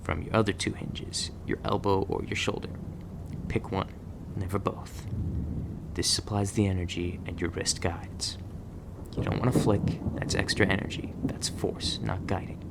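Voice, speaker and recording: A man playing a gruff, guarded agent who trains a rookie in a terse, stern manner in an ASMR roleplay. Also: deep voice, little pitch movement, normal speed, noisy background. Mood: stern, patient. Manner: terse, stern instructing, stammers when flustered.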